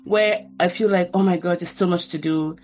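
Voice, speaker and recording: A woman in her thirties whose first language is Yoruba, hosting a casual podcast conversation in an animated, chatty way with many restarts. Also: on the low side, normal speed. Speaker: podcast host; cancer researcher.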